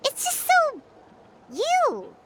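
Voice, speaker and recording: A tiny childlike fairy companion from a video game. The voice is extremely high and squeaky, animated and exclamatory, chattering with big swoops in pitch. There is clear background noise. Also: female voice, drawn-out words, heavily acted. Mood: chatty, curious. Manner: exclamatory, refers to herself in third person.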